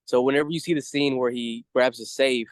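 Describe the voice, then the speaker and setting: A man co-hosting a casual fan review chat, explaining things in a halting, rambling way. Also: mid-range voice, fairly even intonation, dry recording. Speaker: co-host of a review show.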